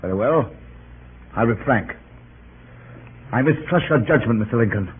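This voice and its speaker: An actor playing a proud, defensive, ambitious government minister with a formal, clipped, indignant manner in a 1930s radio drama. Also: male voice, mid-range voice, animated, normal speed, breathy edge. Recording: phone-like, faintly noisy 1930s radio recording.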